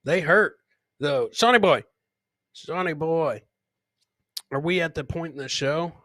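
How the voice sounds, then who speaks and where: A man hosting a comedy podcast, bantering casually in a rowdy, rambling way with shouted bursts. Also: fairly high pitch, animated, a little slow, no room sound, studio quality. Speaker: host of a live comedy podcast. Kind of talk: boisterous casual live banter with listeners.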